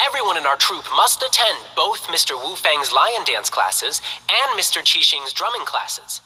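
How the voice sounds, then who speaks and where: A man voicing a cheerful, earnest young lion dancer who talks in a bright, friendly, enthusiastic way in game character voice lines. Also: fairly high pitch, very animated, normal speed, slightly rough, in a large hall, exaggerated voice, poor audio. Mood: upbeat.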